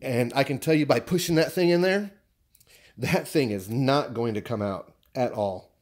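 Rambling American man, casual unboxing chat. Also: medium pitch. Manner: rambling, halting, thinking aloud. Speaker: vlogger showing purchases. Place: living room at home.

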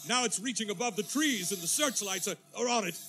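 An actor playing a confident militia captain reporting from the field in a 1930s-style radio drama, in a clipped military manner. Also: male voice, high-pitched, lively intonation, a little fast, sharp tone, faint music behind. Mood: rising alarm.

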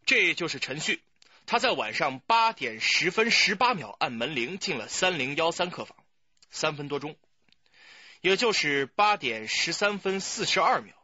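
A man's voice: a little high, very animated, normal speed, pushed and bright, no room sound, lo-fi sound, full character voice. An actor playing a keen, emphatic, self-assured young male police detective in a radio crime drama. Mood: eager, certain.

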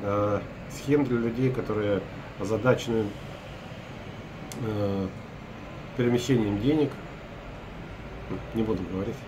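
Russian broker, 40s, lowish voice, halting and filler-heavy, hotel-room webcam recording with audible hum. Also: male voice. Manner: hesitant, many filler sounds and restarts. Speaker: property broker. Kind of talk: casual recap to camera. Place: hotel room at night, window behind.

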